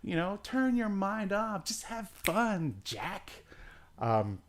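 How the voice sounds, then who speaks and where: A man's voice: sits high, very animated, slow. A man hosting a podcast, recapping in casual chat with a rambling, jokey, irreverent manner and offhand, slangy phrasing. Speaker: podcast host.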